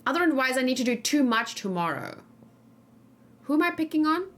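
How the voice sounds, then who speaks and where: A woman's voice: high voice, sing-song, moderate pace, a bit bright. A woman streaming a video game, thinking aloud informally and talking casually with her viewers. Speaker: video game streamer, let's play host. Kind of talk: casual live game commentary, chatting with viewers.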